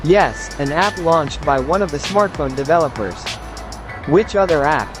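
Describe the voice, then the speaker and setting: Kindly, measured male mentor, acted by a man, higher-pitched, audio-novel drama, music clearly behind. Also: expressive. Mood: matter-of-fact. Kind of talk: scripted audio novel dialogue.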